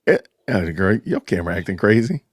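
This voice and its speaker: A man hosting a casual livestream chat, excitable and loud in his reactions. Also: low-pitched, brisk, slightly rough, no echo, studio quality. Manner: excitable, exclaims loudly. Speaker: livestream host.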